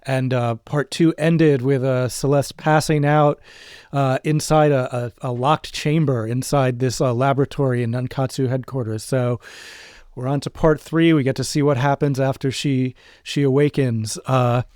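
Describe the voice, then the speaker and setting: A man co-hosting a podcast in casual, halting chat, introducing an audio drama episode. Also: mid-range voice, fairly even intonation, a little mellow, clear, resonant tone, dry recording, clean studio sound. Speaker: podcast co-host.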